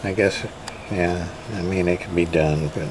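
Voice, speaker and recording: A man giving casual hands-on repair commentary as a repairman hosting his own video, halting and muttering to himself as he works. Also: low-pitched, steady tone, a loud crowd. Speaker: radio repairman and video host. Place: indoor repair workbench.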